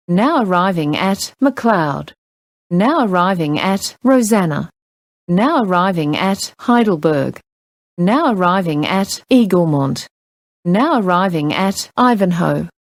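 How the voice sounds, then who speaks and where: A woman making formal, measured, clearly enunciated scripted train announcements. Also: fairly low pitch, no room sound. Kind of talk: scripted public transport announcements.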